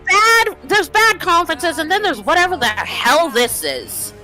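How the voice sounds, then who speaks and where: A woman chatting casually on a group livestream reaction, loud, emphatic and exasperated. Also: very high voice, very animated, unhurried. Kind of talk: casual live reaction chat. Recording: game trailer music playing behind.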